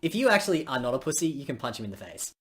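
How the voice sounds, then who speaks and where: A man's voice: medium pitch, sing-song, racing, fairly bright tone. A man giving casual, joking commentary as a live streamer.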